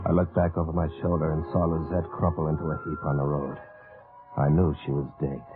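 A man's voice: very deep voice, flat delivery, a little fast. An actor playing a grave, tough American army captain, telling his war story tersely and solemnly in an old radio drama. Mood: solemn, reflective. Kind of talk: dramatic first-person radio narration. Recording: muffled old radio with music.

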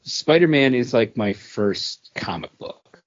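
A man co-hosting a podcast, chatting casually in a halting, earnest, conversational way. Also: mid-range voice, expressive, muffled recording. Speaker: podcast co-host. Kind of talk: casual chat, personal reminiscing.

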